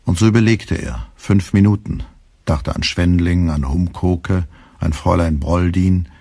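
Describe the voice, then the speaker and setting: A man narrating a radio drama in a calm, measured storytelling voice. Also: deep voice, vocal fry, breathy edge, dry recording, clean studio sound. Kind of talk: scripted literary narration.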